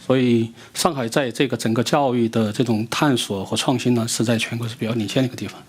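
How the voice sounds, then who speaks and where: A man speaks quickly in a lecture room, his voice slightly husky. He answers in short bursts and stops so an interpreter can follow. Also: mid-range voice, fairly even intonation. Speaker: visiting education expert answering questions. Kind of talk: answering a question through an interpreter.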